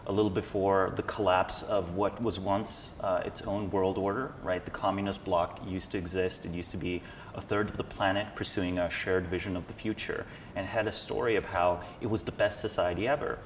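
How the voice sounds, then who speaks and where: A deep-voiced analyst, the man on a panel in a reverberant hall, thinking his answers through aloud. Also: fairly even intonation, fairly quick. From Slovenia. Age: 30s. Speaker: interview guest, social scientist and analyst. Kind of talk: reflective conversational explanation. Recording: echoey hall with background noise.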